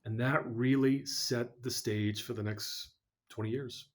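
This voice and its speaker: Male guest, mid-range voice, earnest and measured as he recounts his story. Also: mid-range voice, fairly even intonation. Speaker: podcast guest. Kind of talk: personal story in an interview.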